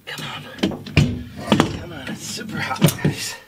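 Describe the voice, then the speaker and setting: A middle-aged man narrating his work casually, thinking aloud as a home-building vlogger. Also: unhurried. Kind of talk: casual narration while working. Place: construction site, outdoors and in a small unfinished insulated room. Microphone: camera microphone, sometimes across the room. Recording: clear background noise, distant at times.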